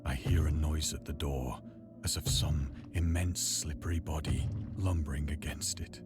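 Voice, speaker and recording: A man's voice: extremely low pitch, steady tone, moderate pace. An actor playing a haunted, despairing man who narrates his horror in a grave, formal, theatrical style for a video game. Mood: fearful dread. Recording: music behind the voice. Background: game music.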